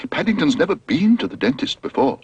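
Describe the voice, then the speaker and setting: A man voicing a gentle, worried motherly woman in a storybook reading, soft and fretful. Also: a little high, big pitch swings, brisk, husky, no echo, dull audio, voice pushed up, full character voice.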